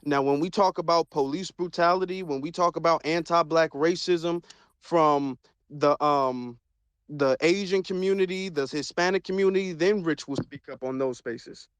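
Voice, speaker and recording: Man, chat room host, measured, hesitant, slightly high voice, dry sound. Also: clean tone, dry recording. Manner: measured, hesitant, filler-heavy. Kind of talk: moderating an online audio discussion.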